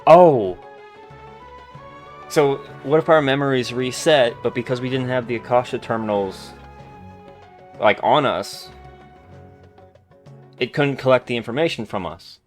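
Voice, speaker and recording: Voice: mid-range voice, unhurried, long pauses, faint music behind. A game streamer giving casual, musing commentary and thinking aloud while playing.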